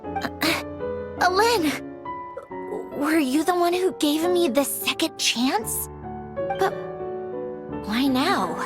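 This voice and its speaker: A woman's voice: sits high, full character voice. A woman voicing a proud, prickly female automaton in a video game, speaking in a precise, scholarly, aloof way. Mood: startled, puzzled. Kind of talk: scripted game character dialogue.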